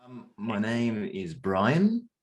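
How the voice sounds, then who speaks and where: A man's voice: lowish voice, sing-song, drawn-out words, a little mellow. A man of twenty-one giving casual interview answers, hesitant and rambling with many restarts.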